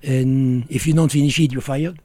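A middle-aged Frenchman who speaks English with a French accent, answering questions in a relaxed, casual interview. His voice is mellow and dark, and he talks bluntly in short, broken phrases. The sound is dry and close to the mic. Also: mid-range voice, normal speed, dry recording. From France. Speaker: guest.

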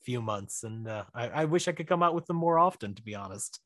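A man's voice: mid-range voice, animated, fairly quick, a bit bright, no echo. Chatty man in a dry, close recording. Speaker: interview guest, writer-director. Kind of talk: casual podcast interview.

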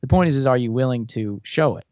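A man's voice: medium pitch, expressive, fast talker, darkish tone, no room sound. Rambling man with a dull, dry sound. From grew up in Thailand. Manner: rambling, many restarts and fillers. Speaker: podcast guest. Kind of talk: casual political chat.

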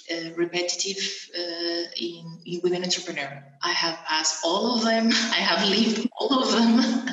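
A woman from Venezuela speaking as an interview guest, with a fairly low, flat delivery full of ums and restarts. Her voice carries an echoey room sound around it. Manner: hesitant, many ums and restarts. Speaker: guest, coach and mentor. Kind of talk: interview answers.

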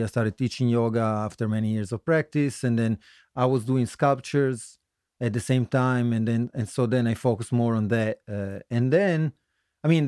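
A middle-aged man with an Italian accent talks casually about himself as an interview guest. His voice sits at a medium pitch and is recorded very dry. He often restarts and corrects himself, with a joking streak. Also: warm tone, smooth, no room sound. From Italy. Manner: halting, self-correcting, joking. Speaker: guest musician, singer-songwriter.

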